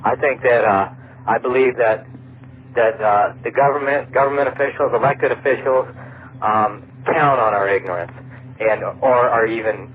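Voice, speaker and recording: A man's voice: medium pitch, moderate pace. An American man phoning in to give his opinion, hesitant and searching for words. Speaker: phone-in caller. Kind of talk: unscripted call-in opinion. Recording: noisy narrow phone line over shortwave radio.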